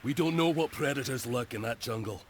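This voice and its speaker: An actor playing an earnest Scottish knight captain who gives firm orders in a historical strategy game. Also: male voice, mid-range voice, brisk, a little hiss or hum, natural voice. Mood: firm, guarded. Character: stern young Scottish knight captain commanding soldiers.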